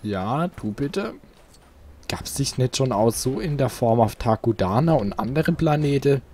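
A man's voice: mid-range voice, expressive, measured pace, warm tone, slight background noise. A man hosting a casual let's play, chatting to his viewers. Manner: chatty, talks to viewers, asks for likes. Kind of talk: casual gameplay commentary.

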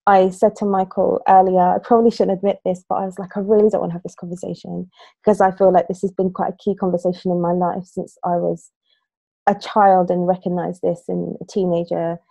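A woman in her thirties, a youth worker hosting a panel discussion on a video call, gentle and full of ums. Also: on the low side, flat delivery, a little fast, creaky, dry recording. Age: 30s. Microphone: laptop webcam on a video call.

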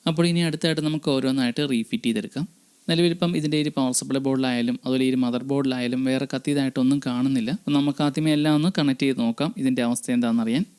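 A man narrates a device restoration, explaining each step to his viewers. He speaks very quickly, with a smooth, clean tone and a mid-range pitch. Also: darkish tone. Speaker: electronics repair channel host. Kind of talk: voice-over narration of a restoration tutorial.

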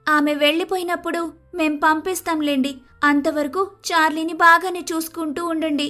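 A woman playing a hot-tempered young daughter-in-law, shrill, scolding and dramatic, in an animated moral story. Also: moderate pace. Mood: bossy, anxious.

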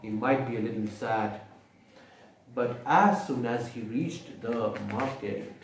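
A man's voice: medium pitch, slow, slight background noise. A man with an Indian accent reading a picture book aloud to children, expressively explaining and asking questions as he goes. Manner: expressive, repeats and explains for young listeners. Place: small room indoors, seated at a table. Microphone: camera mic from a few feet away. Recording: echoey room, distant microphone.

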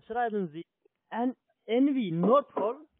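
A man's voice: sits high, expressive, slow pace, dry recording. A man with a foreign accent, a non-native speaker, insistently repeating spelling instructions as a call centre agent. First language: not German. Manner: insistent, repetitive. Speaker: call centre phone scammer. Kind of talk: phone instructions, spelling out letters. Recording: tinny phone line.

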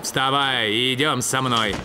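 A man's voice: medium pitch, fairly even intonation, unhurried, background noise, close to an everyday voice. A man voicing a stern, menacing male dog villain officer in a cartoon dub, speaking in a threatening, commanding way.

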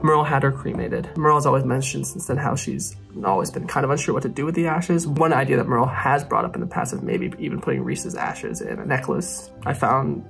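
Young guy from thailand, gravelly voice, chatty and jokey on a phone selfie, faint music behind. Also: mid-range voice, brisk, hardly any pauses. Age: young adult. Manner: relaxed, joking, easily excited. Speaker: online video host. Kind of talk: casual chat to camera.

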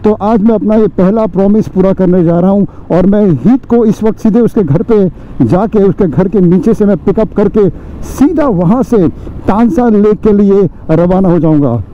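A man's voice: high-pitched, normal speed. A man in his forties, a motovlogger, chatting casually to viewers while riding his motorcycle outdoors on a helmet camera mic. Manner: chatty, addresses viewers as friends, many fillers. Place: outdoors on a motorcycle on city and village roads. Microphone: helmet or bike-mounted action camera mic. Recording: dull lo-fi outdoor riding audio with faint road noise. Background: faint traffic and road noise.

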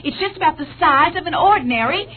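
A woman's voice: sits high, expressive, fast. An actress playing a prim, helpful woman in an old radio drama, precise and proper. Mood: prim, informative. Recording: muffled, very noisy old radio recording.

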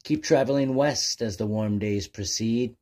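Guy playing a friendly wise seal in a kids story, pitched up, husky, encouraging. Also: unhurried, a little mellow. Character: friendly, generous male seal, wise fisher.

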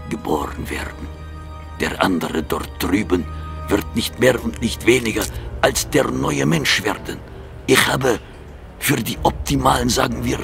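An actor playing a grandiose, obsessive mad surgeon in an audio drama, halting and theatrical, menacing and fond of repeating his own phrases. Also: lowish voice, gravelly, fairly bright tone, exaggerated voice. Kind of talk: German audio drama acting.

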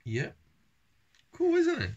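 A middle-aged man, a father talking casually with his young son at home in short, informal prompts and gentle corrections. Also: extremely high pitch, wildly swooping pitch, takes it slowly, highs cut off. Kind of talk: casual family chat. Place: child's bedroom at home, indoors.

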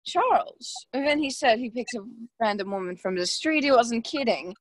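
A woman's voice: a little high, lively intonation, normal speed, dry recording. A young woman acting in a radio play as a scheming, haughty society hostess, condescending and taunting. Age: young adult.

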